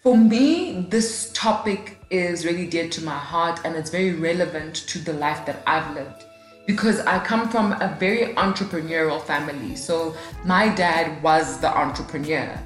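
A woman's voice: sits low, moderate pace, reverberant, faint music behind. A woman in her late twenties hosting her own podcast alone at a mic, chatty and upbeat with self-deprecating humor. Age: 20s. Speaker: podcast host. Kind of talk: casual solo podcast talk. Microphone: studio mic, alone in a room.

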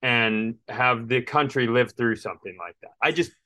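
A man's voice: medium pitch, normal speed, bright, forceful tone. An American man from Minnesota telling stories casually as a comedy podcast co-host, ranting in comic exasperation and acting out quotes. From Minnesota, United States.

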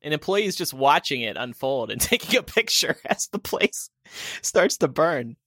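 A man's voice: fairly high pitch, sing-song, normal speed, fairly bright tone, no echo, clean studio sound. A man co-hosting a podcast, reading out news headlines and adding casual comments.

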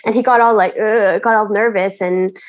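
A woman from Canada talking as a podcast guest, with a middle-pitched voice. She chats loosely, laughs easily and often restarts her phrases. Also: fairly even intonation, moderate pace. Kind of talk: casual chat.

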